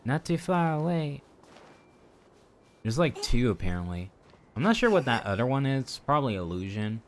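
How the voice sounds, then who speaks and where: A man's voice: mid-range voice, very animated, moderate pace. A man doing casual, rambling live commentary on a video game, playfully chatting to his viewers, with game music behind him. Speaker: game streamer.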